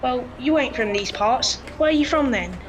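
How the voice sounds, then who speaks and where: A woman's voice: high voice, animated, normal speed, a crowd in the background. A woman playing a cheeky, streetwise young pickpocket boy with a London accent in an audio drama, cocky and friendly.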